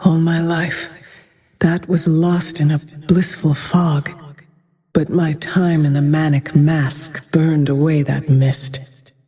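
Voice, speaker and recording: Grim female avenger archer for a fantasy game: slow, dark-toned, merciless and composed, in long reverb. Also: deep voice, level tone. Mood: brooding, haunted. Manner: cold, brooding, measured, often dropping to a breathy whisper. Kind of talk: dramatic video game voice lines.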